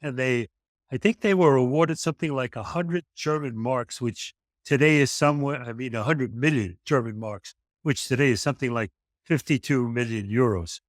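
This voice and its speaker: A man's voice: medium pitch, normal speed, no room sound, clean studio sound. Effusive male interview host. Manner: effusive, emphatic praise. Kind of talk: interview chat.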